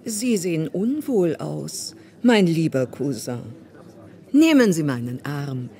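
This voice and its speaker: A woman's voice: low voice, sing-song, slow, mellow, exaggerated voice. An actress in a German radio play playing a proud, devoted queen, speaking in a stately, solicitous manner. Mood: protective, solemn. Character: proud, devoted queen consoling her slighted cousin.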